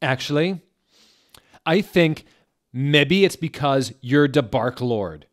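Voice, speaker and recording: A teenage goth girl, as played by a male reader in a comic fan fiction reading. The voice is pushed up high and emphatic, full of melodrama and quick outrage. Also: expressive. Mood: shrill outrage. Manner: exclamatory, melodramatic.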